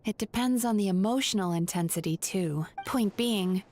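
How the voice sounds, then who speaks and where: A woman playing a composed, gentle young dream therapist in an anime game, speaking in a measured, explanatory way. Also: medium pitch, a little hiss or hum, close to an everyday voice. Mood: concerned, explaining.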